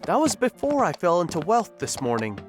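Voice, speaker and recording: Someone playing a shy awkward young adventurer, unassuming, midrange pitch that jumps around, audio drama, music behind. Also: wildly swooping pitch, moderate pace. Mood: bashful. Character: awkward, hesitant, good-natured young adventurer.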